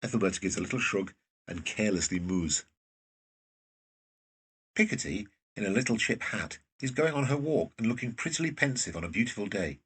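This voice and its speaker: Guy narrating an audio play, really raspy lowish voice, sounds a bit far from the mic. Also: animated, brisk, a bit bright. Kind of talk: scripted present-tense drama narration.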